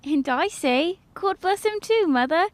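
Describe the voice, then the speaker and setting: A woman's voice: extremely high pitch, lively intonation, a little slow, no echo. A woman playing a sweet, devout little child in a radio play. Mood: earnest.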